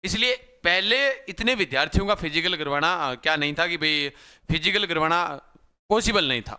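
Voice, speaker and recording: A young man teaching exam students live. His voice is slightly hoarse, and he talks repetitively, often calling viewers brother. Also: a little high, expressive, normal speed, pushed and bright. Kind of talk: live-stream class talk.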